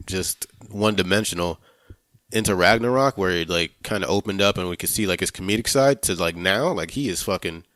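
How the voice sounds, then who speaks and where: Male podcast host, very deep voice, close dry sound, hesitant and conversational, loose with swearing. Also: fairly bright tone, no room sound. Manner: halting, searching for words, casual swearing. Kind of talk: casual film review discussion.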